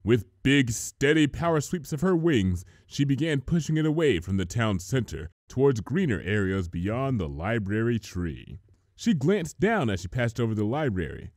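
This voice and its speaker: A man's voice: mid-range voice, sing-song, moderate pace, darkish tone, no echo, studio quality. A man reading a story aloud as a scripted narrator.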